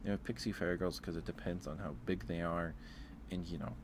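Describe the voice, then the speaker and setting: Low-voiced man streaming, noisy recording. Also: fairly even intonation, a little fast. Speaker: live streamer. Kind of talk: casual ASMR livestream chat.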